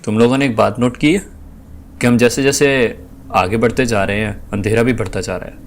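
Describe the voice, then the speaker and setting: A man's voice: medium pitch, fairly even intonation, fairly quick, close to an everyday voice. A man playing a cautious, serious young university student in an audio drama.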